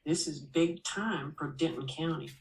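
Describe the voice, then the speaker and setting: A woman reading a first-person memoir aloud as an expressive storyteller, with a dramatic, wry delivery. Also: low voice, a little slow, gravelly, distant mic. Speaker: narrator reading a memoir chapter. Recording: roomy, echoing voice recording.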